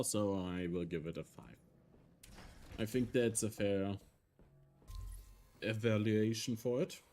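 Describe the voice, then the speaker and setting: A man with a German accent, a German native speaker, casually talking through a game on stream, thinking aloud with hesitant, halting phrasing. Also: mid-range voice, mellow. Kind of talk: casual gameplay commentary.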